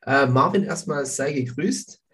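A man's voice: on the high side, measured pace, fairly bright tone. A man hosting a sports podcast who has a cold, asking questions with some hesitant fillers. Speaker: podcast host. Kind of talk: podcast interview.

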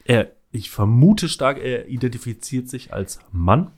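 Man, mid-pitched voice, casual co-host, jokey and self-mocking. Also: lively intonation, unhurried. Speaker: podcast co-host. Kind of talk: casual chat.

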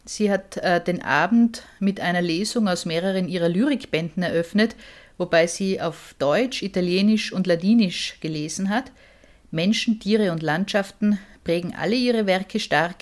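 A woman podcast host giving a steady, informative introduction to an episode. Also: lowish voice. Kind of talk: scripted introduction.

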